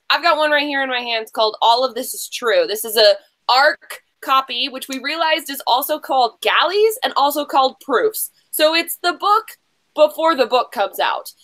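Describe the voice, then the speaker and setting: A woman's voice: high voice, sharp tone. A woman hosting a casual livestream game show in a chatty, gushing way, rambling and interrupting herself with slangy asides.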